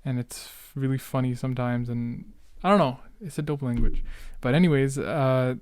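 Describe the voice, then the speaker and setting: A man's voice: medium pitch, normal speed, a little mellow, clear, resonant tone, no room sound, clean studio sound. A young man, chatting casually and in a rambling way as he hosts a solo podcast. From Canada. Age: late teens, young adult. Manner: rambling, self-interrupting, youthful slang. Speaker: podcast host. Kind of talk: casual solo chat, reminiscing.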